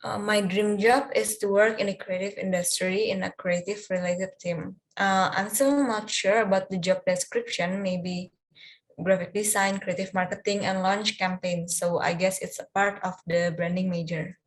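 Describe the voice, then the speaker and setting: A woman's voice: mid-range voice, steady tone. Twenty-year-old woman. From Indonesia. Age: 20s. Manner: measured answers with occasional fillers. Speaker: test candidate, peer examiner. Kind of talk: mock English speaking test.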